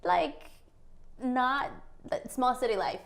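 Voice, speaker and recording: A woman from Mexico, a guest in a casual interview, telling her story with animated energy, laughing often and acting out what people said to her. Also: fairly high pitch, slow pace, vocal fry. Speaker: guest, entrepreneur.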